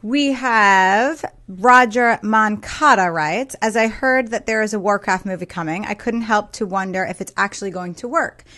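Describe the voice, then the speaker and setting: A woman's voice: mid-range voice, moderate pace, hardly any pauses, bright, forceful tone. A woman co-hosting a talk show, reading out viewer mail and chatting casually.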